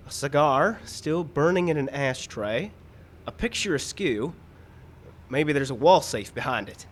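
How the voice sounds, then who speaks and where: A man playing an earnest, slightly slow cowboy sidekick in an old-time radio mystery, performed live. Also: on the high side, expressive. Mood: puzzled, observant. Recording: live outdoor stage, some background noise.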